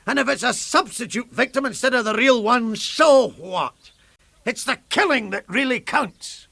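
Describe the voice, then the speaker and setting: An actor playing a bitter, defiant former stand-up comic making a rhetorical, theatrical speech to a hostile crowd in a radio drama. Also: male voice, very high voice, gravelly, pushed and bright, no room sound, studio quality, full character voice. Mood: bitter, accusing. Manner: rhetorical, theatrical, with deliberate repetitions and pauses.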